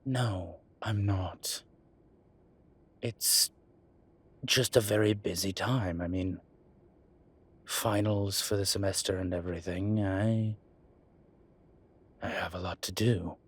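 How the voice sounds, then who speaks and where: Audio roleplay: male actor as demon professor, low and husky, slow-spoken, halting, defensive, easily exasperated. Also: steady tone, full character voice. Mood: flustered, evasive. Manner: halting, defensive, muttering asides. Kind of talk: audio roleplay acting, one-sided dialogue.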